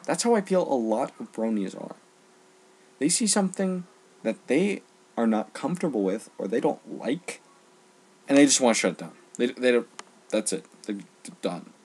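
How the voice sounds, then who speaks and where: A young man giving an earnest, exasperated, unscripted rant, speaking emphatically with halting pauses in a husky, gravelly voice. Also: mid-range voice, expressive, darkish tone. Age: teens to young adult. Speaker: online ranter.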